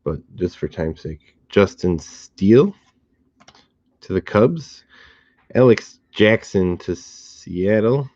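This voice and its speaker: A slightly hoarse man hosting a card box break, calmly listing each card and who it goes to. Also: on the low side, dry recording, dull audio. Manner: steady, unhurried listing of cards and recipients. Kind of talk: relaxed live box-break commentary.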